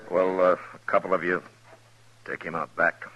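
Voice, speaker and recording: An actor playing a stern, middle-aged American frontier marshal in an old radio western, speaking with deliberate, terse authority on a hissy recording. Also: male voice, low voice, level tone, highs cut off, lightly acted. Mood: urgent, commanding. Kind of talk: 1950s radio western acting and first-person narration.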